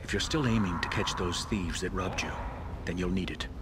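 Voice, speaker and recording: Man as deep-voiced, earnest retired thief. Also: brisk, very noisy, natural voice. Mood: earnest, helpful. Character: weathered retired thief, earnest, helpful, regretful. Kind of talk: fantasy video game dialogue.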